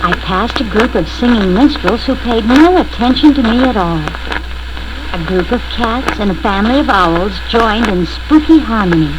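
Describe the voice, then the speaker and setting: Female storyteller, high voice, lively intonation, deliberate spooky read-along narration, old vinyl with clear pops and scratches. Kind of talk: scripted first-person spooky children's read-along narration. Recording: crackly scratched 1970 vinyl record.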